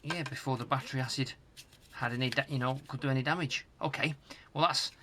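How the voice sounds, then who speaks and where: A middle-aged British man giving casual hands-on repair commentary, musing aloud in a halting, hesitant way. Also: mid-range voice, fairly even intonation, background noise. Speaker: host of a retro tech repair channel. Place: home workshop loft.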